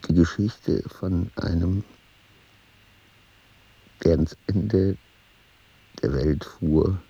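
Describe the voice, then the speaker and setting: Weary, gravelly, very deep-voiced dying man. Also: dark tone, lo-fi sound, full character voice. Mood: brooding, resigned. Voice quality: gravelly, husky, breathy. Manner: halting, brooding, sometimes sinking into whisper. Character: weary dying man musing on memory and death. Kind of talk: literary radio play monologue.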